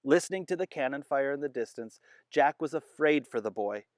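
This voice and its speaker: Expressive read-aloud storyteller. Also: mid-range voice, a little fast, no echo, studio quality. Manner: expressive, animated narration. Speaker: storybook reader. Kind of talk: reading a children's book aloud.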